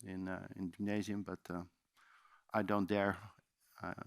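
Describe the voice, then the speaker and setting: A man's voice: sits low, little pitch movement, a little slow, no echo, studio quality. Dutch-accented man giving a seminar talk. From Netherlands. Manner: loose and chatty, many fillers and restarts. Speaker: conference speaker. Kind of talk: seminar presentation. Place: conference room.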